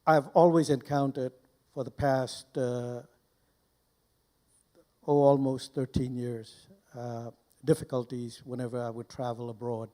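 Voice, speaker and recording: An older man from Burma giving informal personal testimony to a live audience as a guest speaker, deliberately and in short, broken phrases. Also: mid-range voice, little pitch movement, warm tone. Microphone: handheld microphone held close.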